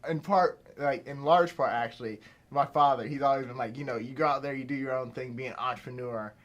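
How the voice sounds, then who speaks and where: A young man from Pittsburgh talks casually as an interview guest, at a middle pitch for a man. He rambles, full of "like" and "you know", and often restarts his sentences. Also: a little fast, highs cut off. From Pittsburgh, USA. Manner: rambling, many fillers and restarts. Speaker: filmmaker guest.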